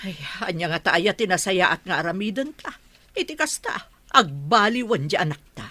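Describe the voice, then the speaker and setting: A woman's voice: lowish voice, expressive, moderate pace, no room sound. A woman playing a stern, worried mother with a scolding manner in a radio drama. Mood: troubled. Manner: scolding, forceful.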